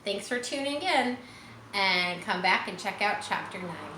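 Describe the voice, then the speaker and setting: A woman's voice: medium pitch, animated, unhurried. A woman hosting a children's read-aloud, narrating a storybook and speaking directly to young listeners. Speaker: storybook reader and host. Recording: echoey room, faint background noise.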